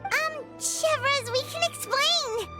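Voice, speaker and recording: Little female fairy, video game, squeaky, excitable, sky-high pitch, loud music. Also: slow pace. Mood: flustered. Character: tiny, squeaky, excitable childlike fairy companion. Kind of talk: scripted video game voice acting. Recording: loud background music under the dialogue.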